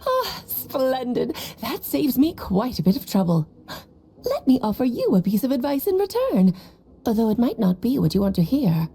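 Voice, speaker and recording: Husky, sly, worldly woman. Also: a little high, wildly swooping pitch, moderate pace, mellow, heavily acted. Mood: teasing, knowing. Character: sly, worldly woman mentor dispensing cryptic advice. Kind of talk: voice acting in an anime-style game.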